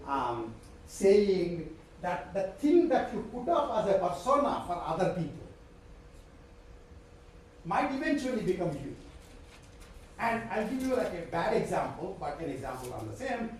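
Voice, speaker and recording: A man's voice: high voice, sing-song, measured pace, pauses often, a little mellow, slight background noise. A man with an Indian accent, a professor giving a live university lecture in a digressive way, trailing into fillers and short chuckles. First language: Telugu. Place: lecture hall. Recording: echoey lecture hall from far off.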